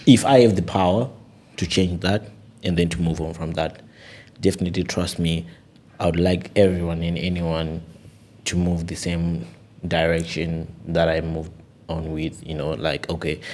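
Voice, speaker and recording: Deep-voiced earnest young man. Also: a little slow, a little hiss or hum. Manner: earnest and animated, often says "you know". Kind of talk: casual podcast conversation.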